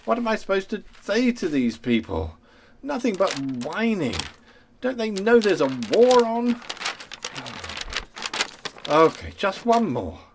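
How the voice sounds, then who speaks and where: Radio play clerk, male, acted: worn-out, exasperated grumbler, slow delivery with big swings in pitch, some hiss. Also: sing-song, creaky, exaggerated voice. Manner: muttering, exasperated, sighing complaints to himself. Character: weary, grumbling male wartime ministry clerk.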